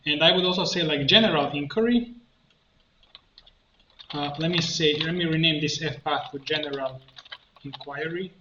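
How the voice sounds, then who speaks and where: A man giving an unscripted software tutorial, haltingly narrating each step as he works and thinking aloud. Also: fairly high pitch. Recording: muffled lo-fi, roomy echo, background noise.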